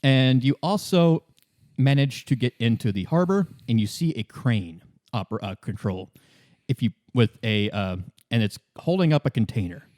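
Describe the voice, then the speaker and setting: A man narrates a tabletop roleplaying game as its game master. His voice sits at an average pitch, and he improvises casually, with halting, good-humored phrasing. The recording is dry. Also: animated, darkish tone, no echo. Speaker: game master, podcast host.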